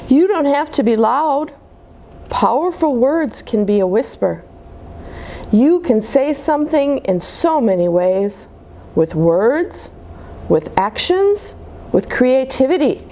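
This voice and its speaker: A woman reads aloud for young children with a highly animated delivery. Her voice sits a little high and moves at an unhurried pace, explaining things simply as she goes. There is some background noise. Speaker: children's storybook reader at a church.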